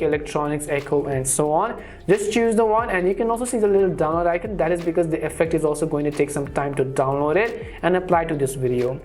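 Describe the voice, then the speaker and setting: A man's voice: on the high side, a little mellow. Man narrating a how-to tutorial. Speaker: tutorial channel host.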